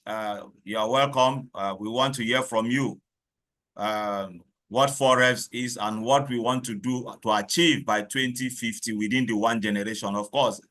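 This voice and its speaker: A man's voice: on the low side, a bit bright. A man hosting a webinar on a video call, speaking hesitantly with many fillers. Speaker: webinar host.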